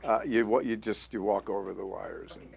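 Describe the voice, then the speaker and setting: A man's voice: on the low side, steady tone, normal speed, creaky, darkish tone. A man teaching a live acting class as the coach, talking in an emphatic, repetitive way and drilling the students to repeat key phrases. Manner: emphatic, repetitive, punchy call-and-response teaching.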